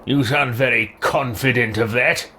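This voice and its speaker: A man's voice: medium pitch, steady tone, a little slow, bright, forceful tone, slight background noise. A man voicing a haughty, impatient recruit in a fantasy roleplay game, curt and drily sarcastic. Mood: scornful. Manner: dry, curt, sardonic. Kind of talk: scripted roleplay game dialogue.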